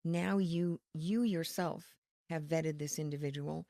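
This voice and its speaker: Low-voiced earnest woman, slightly gravelly. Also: fairly even intonation, warm tone, dry recording, studio quality. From Connecticut, USA. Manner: earnest, faith-filled, measured explaining. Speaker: ministry leader, guest. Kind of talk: podcast interview.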